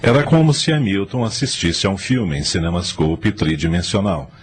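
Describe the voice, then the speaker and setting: A man's voice: sits low, big pitch swings, moderate pace, slight background noise. A man narrating a scripted radio drama as a dramatic storyteller. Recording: strong music behind the narration.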